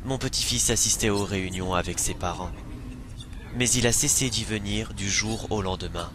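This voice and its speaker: A man's voice: moderate pace, close to an everyday voice. A man voicing a polite, worried old grandfather in an amateur French dub of a fantasy game, speaking formally and hesitantly. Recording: noisy amateur recording, rumbling background.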